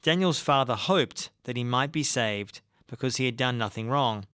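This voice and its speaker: A man narrating a documentary podcast from a script, in a measured, even delivery. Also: mid-range voice, clear, resonant tone, dry recording, studio quality. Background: faint music.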